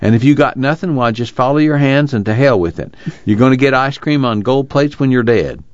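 A man's voice: medium pitch, fairly quick, no echo, highs cut off, natural voice. A man playing an earnest young fugitive farm son in a dramatized novel reading, reflective and plainspoken, with a drawl.

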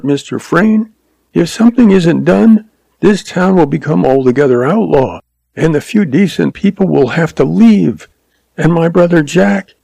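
A man's voice: high-pitched, gravelly, mellow, slightly rough, no echo, voice pushed up, heavily acted. A man voicing an earnest, anxious young frontier woman in a western story, with a quivering, pleading delivery.

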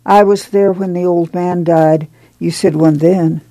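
A woman voicing a frail, dying migrant wife, gentle but insistent. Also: on the low side, fairly even intonation, measured pace, no room sound. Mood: pleading.

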